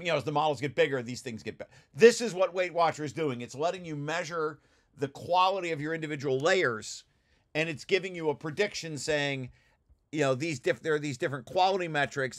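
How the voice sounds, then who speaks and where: A man giving an informal technical explanation as a presenter, often restarting and repeating his phrases. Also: a little high. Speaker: presenter of a research paper, study group leader. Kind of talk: informal technical explanation over shared slides.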